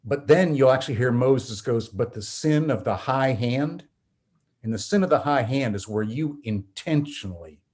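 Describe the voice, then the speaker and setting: Guy preaching-style explaining the bible, earnest, mid pitch, speeds up and slows down a lot. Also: animated, darkish tone. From North America. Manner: earnest, preacherly, varies pace for emphasis. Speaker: pastor and Bible professor, guest. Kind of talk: teaching conversation.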